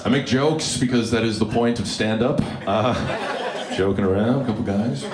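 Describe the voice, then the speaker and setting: A deep-voiced young man from Alberta doing live stand-up, wry and self-mocking with deadpan asides. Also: moderate pace. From Alberta, Canada. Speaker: stand-up comedian. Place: comedy club stage before a live audience. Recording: roomy live club with loud crowd laughter.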